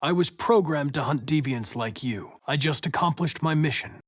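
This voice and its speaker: A man's voice: fairly high pitch, normal speed, no room sound, clean studio sound, lightly acted. A man playing a polite, determined young android police investigator in a video game, with formal, precise, controlled diction. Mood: cold, resolute.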